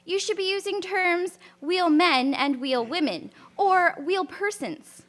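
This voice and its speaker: A woman's voice: very high voice, takes it slowly, a bit bright, heavily acted. A woman acting a prim, outspoken suffragist cyclist who corrects the men indignantly in a radio drama. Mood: prim, insistent.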